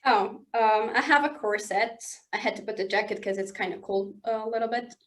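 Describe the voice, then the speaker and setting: Woman, English, halting delivery, fairly high pitch, guest in a casual studio chat. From southern Germany. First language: Swiss German. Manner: halting, searching for English words, laughs often. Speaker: interview guest. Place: indoor studio under lights.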